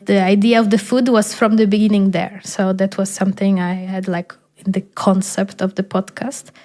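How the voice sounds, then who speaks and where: A young woman from Poland, Polish her first language, speaks in a casual chat. Her voice sits in a middle range. She pauses and searches for words, with gentle fillers as she reflects. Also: medium pitch, flat delivery. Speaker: podcast host. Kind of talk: casual conversation.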